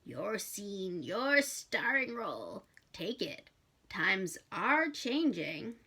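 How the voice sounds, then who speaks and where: A woman voicing a grim, malicious male demon who speaks formally, with deliberate, menacing pauses. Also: mid-range voice, big pitch swings, bright, forceful tone, husky, exaggerated voice. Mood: gloating.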